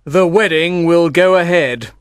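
A woman's voice: lowish voice, measured pace, dry recording, studio quality, close to an everyday voice. A woman voicing an anxious, offended older father of the bride in a storybook, impatient and bitter. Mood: impatient, cold.

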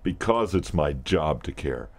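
Deep-voiced, stern radio boss. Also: male voice, lively intonation, unhurried, full character voice. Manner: authoritative, clipped. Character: stern, exasperated male radio program director. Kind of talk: audio drama acting.

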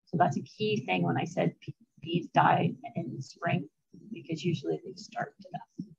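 Older woman with a gravelly, husky voice. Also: on the low side, big pitch swings, normal speed. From Canada. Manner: chatty, anecdotal, with frequent fillers and asides. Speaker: beekeeper giving a presentation. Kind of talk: casual informal talk over slides. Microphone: video call webcam. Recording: dull lo-fi video call audio.

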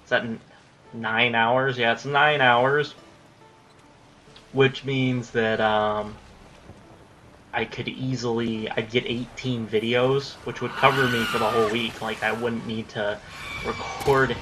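A man's voice: medium pitch, flat delivery, slow pace, pushed and bright, faint music behind, low-quality recording. A young man in his late teens rambling casually about his recording plans while playing a game, swearing when things go wrong. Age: young adult. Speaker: gaming YouTuber. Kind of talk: casual commentary over gameplay.

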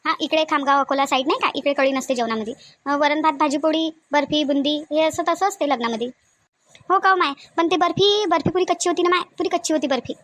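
A woman's voice: high-pitched, fast talker, a bit bright, dry recording. A woman voicing village women of a family in a homemade cartoon, talking colloquially and expressively with a Vidarbha Marathi accent. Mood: gossipy. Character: women of a village family: shy bride, chatty sisters-in-law, scolding aunt.

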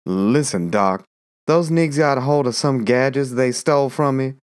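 A woman's voice: extremely low pitch, normal speed, a little mellow, voice pushed down. A woman doing a swaggering, folksy schemer man. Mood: boastful. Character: boastful scheming middle-aged man.

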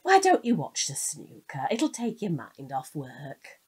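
Chatty british wife in a radio play, middle aged, really animated pitch. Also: female voice, unhurried, breathy edge, full character voice. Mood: curious. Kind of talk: naturalistic audio drama dialogue.

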